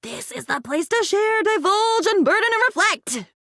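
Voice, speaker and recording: A newly self-aware object come to life in a video game, speaks in a high, very animated voice. She is naive and devoted, with an earnest, quaintly formal delivery. The sound is close and dry. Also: normal speed, dry recording, heavily acted. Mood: excited, adoring. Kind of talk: video game character acting.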